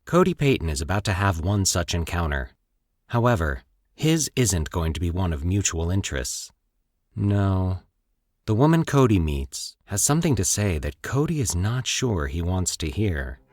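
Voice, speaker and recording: A man narrating scripted horror stories as a podcast host, in a theatrical, measured storyteller's manner. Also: sits low, big pitch swings, darkish tone, dry recording, clean studio sound.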